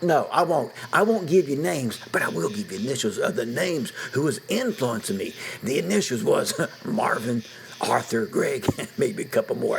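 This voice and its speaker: A man's voice: on the high side, gravelly. An older man from West Virginia, telling a folksy true story from his childhood, chuckling often and dropping to a whisper for suspense.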